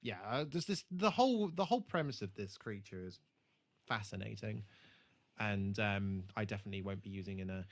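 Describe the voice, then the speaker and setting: Low-voiced, sweary man chatting casually. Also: lively intonation, fairly quick. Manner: hesitant, frequently sweary. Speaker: podcast co-host. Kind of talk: casual chat, re-watch commentary.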